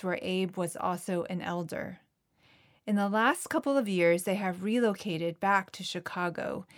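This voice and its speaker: A woman hosting a podcast, delivering narration and interview questions. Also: medium pitch.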